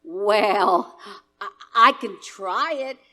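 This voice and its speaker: A woman playing a cautious, official chief telephone operator in a live staging of an old-time radio play, measured and a little hesitant. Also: medium pitch, bright, forceful tone. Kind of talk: live radio play staged as 1930s radio acting.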